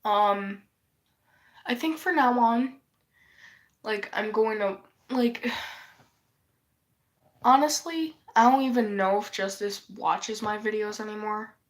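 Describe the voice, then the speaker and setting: A woman's voice: medium pitch, slow, pauses often. A woman who is losing her voice from a cold, rambling in a casual vlog, sighing often and swearing offhandedly. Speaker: vlogger.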